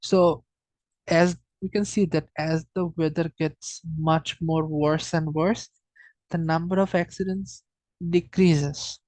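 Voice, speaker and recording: A student giving an informal data presentation in a halting, hesitant way, often restarting phrases. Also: medium pitch, fairly even intonation, darkish tone, no room sound.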